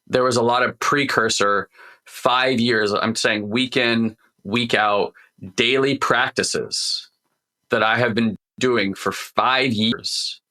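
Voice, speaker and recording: A middle-aged man, hoarse after losing his voice, co-hosting a podcast and talking earnestly in a rambling way, restarting sentences often. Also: medium pitch, sharp tone. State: voice partly lost, hoarse. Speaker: podcast co-host. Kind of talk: casual spiritual coaching talk.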